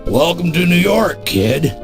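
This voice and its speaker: Sarcastic, menacing street mugger. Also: male voice, on the high side, sing-song, a little slow. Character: menacing, sarcastic male New York mugger. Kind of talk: scripted adventure game acting. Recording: music under the voice.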